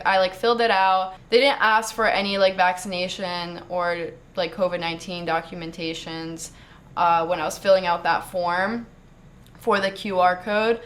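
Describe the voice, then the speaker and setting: A young American woman telling a travel story to camera in a chatty, casual way, full of fillers and quick laughs. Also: mid-range voice, fairly bright tone. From United States. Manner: chatty, fast, lots of "like", laughs often. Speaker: travel vlogger.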